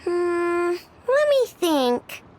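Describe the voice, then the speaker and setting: High-pitched, blunt girl inventor. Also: very animated, drawn-out words, a little mellow, a little hiss or hum, exaggerated voice. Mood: reluctant. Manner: dry, grudging, blunt. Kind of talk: video game voice acting.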